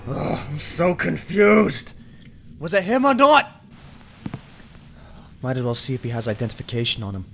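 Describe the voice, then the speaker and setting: A man's voice: on the high side, huge pitch swings, normal speed, long pauses, fairly bright tone, slight background noise, full character voice. A man acting a hot-tempered, obsessive, weary police detective in an amateur radio drama, muttering and swearing. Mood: frustrated, angry, then subdued. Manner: brooding, muttering to himself, swearing. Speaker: voice actor in amateur radio play.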